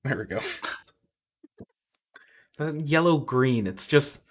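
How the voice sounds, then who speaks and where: A man's voice: mid-range voice, animated, slow pace. A man hosting a casual online art critique stream, joking and laughing often as he reads submissions. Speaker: stream host.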